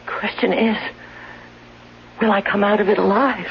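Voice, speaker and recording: An actress playing a frightened sick wife in an old-time radio drama, on a muffled recording. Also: female voice, medium pitch, steady tone, measured pace, gravelly. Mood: anxious, fearful. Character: anxious, frightened sick wife who fears murder.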